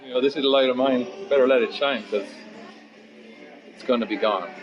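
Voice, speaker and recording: A man of fifty, a musician chatting casually and philosophically in an interview, blunt and sweary, rambling into animated asides. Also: mid-range voice, a little fast, muffled recording, music in the background. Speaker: musician and guitar teacher being interviewed.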